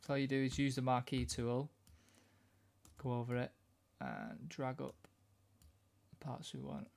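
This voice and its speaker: A man giving a casual, informal music software tutorial, thinking aloud as he works. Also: mid-range voice, little pitch movement, measured pace, creaky, dry recording.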